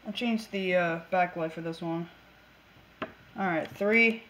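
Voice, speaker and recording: A woman's voice: lowish voice, a little slow, a bit bright, a little hiss or hum. A woman narrating a casual hobby demonstration in an offhand way, half talking to herself, on a handheld camera in a room at home. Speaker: video maker. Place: small room at home, indoors. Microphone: handheld camera mic.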